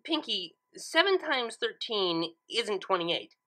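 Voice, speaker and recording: A woman's voice: mid-range voice, sing-song, takes it slowly, bright, forceful tone, heavily acted. A woman voicing an earnest, studious young female cartoon pony who is exacting, questioning and easily flustered. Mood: baffled.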